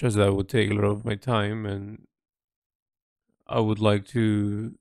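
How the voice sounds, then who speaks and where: A man speaks very slowly in a smooth, fairly low voice, recorded close and dry. He sounds like an artist keeping a personal audio log, reflective and unscripted. His phrases come haltingly, with thoughtful pauses as he works out what he means. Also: a little mellow, no room sound.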